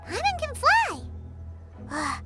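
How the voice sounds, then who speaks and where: A woman voicing a tiny, childlike flying fairy companion in a video game, perky, bossy and excitable. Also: very high voice, slow pace, loud music behind the voice, full character voice. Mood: bossy, excited. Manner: refers to self in third person, perky. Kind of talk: video game character acting.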